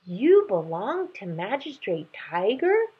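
A woman's voice: mid-range voice, sing-song, slow pace, slightly rough, narrow, tinny audio, voice pushed up, full character voice. A woman voicing a cheeky, teasing young lion cub in a children's storybook read-aloud, with a blunt childlike manner. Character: cheeky, giggly young male lion cub, stone statue.

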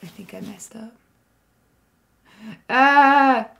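A woman's voice: fairly high pitch, drawn-out words, vocal fry, a bit bright, lo-fi sound. Young woman streamer, sore voice. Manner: chatty, playful, talks to chat. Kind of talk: casual live-stream chat while gaming. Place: home streaming room. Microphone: desk mic set back.

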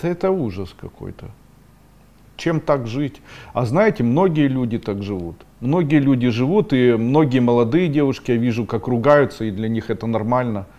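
A middle-aged man hosting an esoteric webinar, reading viewers' questions aloud and answering them bluntly, sometimes scolding with sharp rising outbursts. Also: medium pitch, lively intonation, normal speed, muffled recording.

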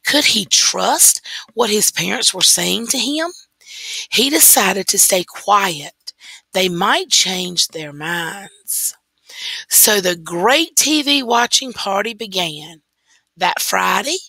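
A woman teacher reading a story aloud slowly and deliberately into a computer microphone. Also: mid-range voice, creaky, sharp tone, breathy edge, no echo, low-quality recording. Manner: slow, deliberate read-aloud.

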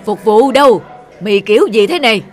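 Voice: medium pitch, expressive, moderate pace, close to an everyday voice. An actor playing a grumpy, fault-finding old man in a cartoon, with a blunt, complaining delivery. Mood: irritated. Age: older. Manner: blunt, dismissive. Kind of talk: animated cartoon dubbing. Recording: loud music under the voice.

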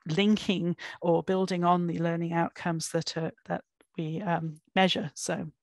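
A woman delivers an online academic keynote in a slightly low voice. She speaks thoughtfully, pausing on fillers and restarting phrases as she explains each point. The sound is dry and close, from a computer microphone. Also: level tone, darkish tone, dry recording.

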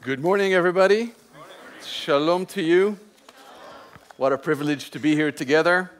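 Man preaching, slightly high voice. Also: moderate pace, a faint crowd, a little hiss or hum. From Israel. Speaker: main speaker. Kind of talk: sermon. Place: large theater stage. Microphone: headset mic.

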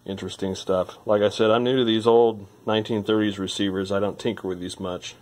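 A man's voice: on the low side, level tone, a little fast, a little mellow. A man giving casual, hands-on repair commentary as a hobbyist, thinking aloud in an informal way. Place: indoor workbench.

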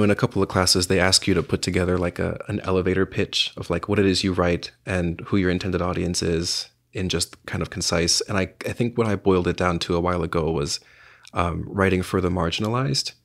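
A man's voice: low-pitched, gravelly. A young man from south Florida, a writer and visual artist, answering podcast questions in a reflective, hesitant way with many fillers. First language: Spanish. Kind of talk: podcast interview.

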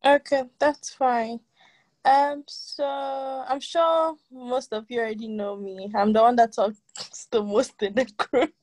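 A woman's voice: on the high side, fairly even intonation, slow pace, a little mellow, no room sound, low-quality recording. Young woman speaking dry on a video call. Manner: easygoing, laughs while talking. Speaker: team lead. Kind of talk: casual meeting talk.

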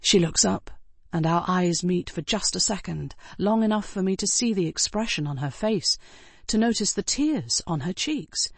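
A woman reading scripted first-person fiction as an audiobook narrator, in an expressive, dramatic way. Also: lowish voice, normal speed, gravelly, breathy edge, no echo.

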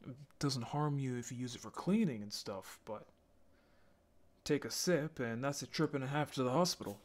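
A man playing a lonely, apologetic young male zombie in an audio roleplay, speaking in a halting, intimate way. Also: mid-range voice, lightly acted. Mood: matter-of-fact. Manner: halting, stammering, sighing.

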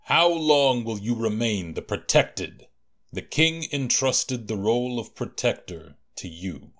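A man's voice: medium pitch, big pitch swings, takes it slowly, fairly bright tone. A man playing a stern, commanding warrior guardian of royalty in an audio drama, solemn and formal.

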